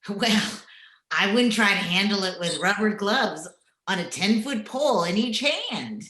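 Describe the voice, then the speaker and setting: A wry, plainspoken housemate acted by a woman in old-time radio style, recorded with roomy echo. She drawls out dry wisecracks slowly, with big swings in pitch. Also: lively intonation, pushed and bright, slight background noise. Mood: dry, skeptical.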